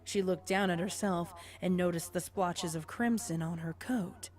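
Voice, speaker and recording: Casting: female story narrator, lowish pitch, scripted prose over faint music. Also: steady tone, a little slow, a little mellow.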